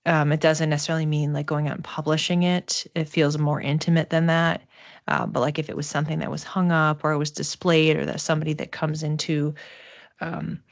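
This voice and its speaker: A woman with a low voice gives an intuitive reading as a psychic medium. She speaks off the cuff, feeling her way haltingly from one phrase to the next. The sound is a little dull and roomy. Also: vocal fry. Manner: tentative, halting, searching for words. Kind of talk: intuitive reading, spoken off the cuff to a live chat.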